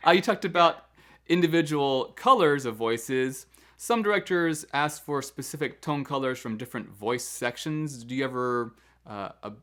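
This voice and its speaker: A man's voice: mid-range voice, fairly bright tone. A man hosting an interview for music educators, asking long, rambling questions with an eager manner. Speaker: podcast host and music educator.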